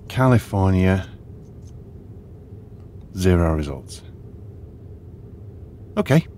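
Animated, fussy British archaeologist. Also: male voice, on the low side. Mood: musing, sardonic. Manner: muttering musings, hesitant, polite. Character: polite, sardonic retired English archaeologist, curious and fussy. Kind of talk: audio drama acting. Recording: faint ambient noise behind.

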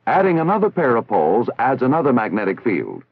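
A man with an American accent narrating a 1960s military training film in a formal, measured, instructional way, on an old film soundtrack. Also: medium pitch, huge pitch swings, dry recording. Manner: formal, measured, didactic enunciation. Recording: tinny, band-limited 1960s film soundtrack.

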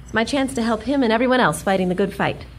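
A woman's voice: fairly high pitch, fast talker, slight background noise, close to an everyday voice. A woman playing a plucky, earnest young American heroine in a pulp adventure audio drama, spirited and wry. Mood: worried, tender.

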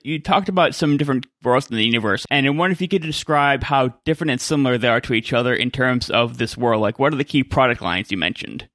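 A man's voice: medium pitch, fast talker, few pauses, fairly bright tone, dry recording. A man hosting a podcast interview in a conversational style.

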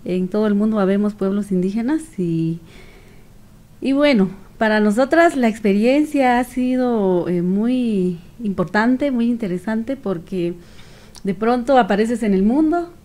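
A middle-aged woman with a Guatemalan accent giving earnest, reflective interview answers in a measured way, with pauses. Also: mid-range voice, warm tone. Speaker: activist guest. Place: small radio studio with several people. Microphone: studio microphone on stand.

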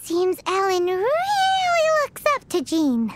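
A tiny fairy girl companion from a video game, extremely high and childlike. She is bubbly and exclamatory, with an animated, swooping delivery. Game music plays loudly behind her. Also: slow, full character voice. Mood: cheerful cheering.

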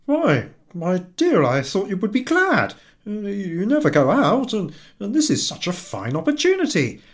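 Man acting out a flustered, high-pitched eager husband. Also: wildly swooping pitch, normal speed. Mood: pleading, flustered. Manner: stammering, hesitant, pleading. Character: eager, flustered, well-meaning husband, a humble clerk.

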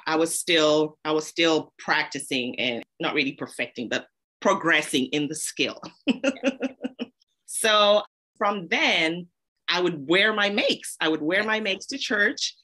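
A woman's voice: low-pitched, sharp tone. A woman from Zambia, a podcast guest, telling her story casually and laughing often.

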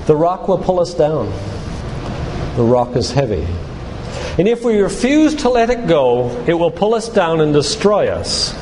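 An older man giving a sermon-like lecture in a church, speaking in an earnest, deliberate, emphatic way with repetition. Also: fairly high pitch, sing-song. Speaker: doctor and guest church speaker. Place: church, live talk. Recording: echoey hall with loud background noise.

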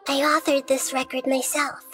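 High, girlish, gentle child deity. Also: level tone. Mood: calm, thoughtful. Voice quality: soft, girlish. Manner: measured, thoughtful, articulate. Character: small childlike female deity, gentle, wise, composed. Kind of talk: video game dialogue and fairy-tale narration. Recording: music under the voice. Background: music.

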